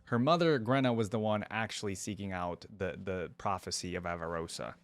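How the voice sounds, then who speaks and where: A man hosting a reaction video, commenting casually and thinking out loud. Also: deep voice, fairly quick.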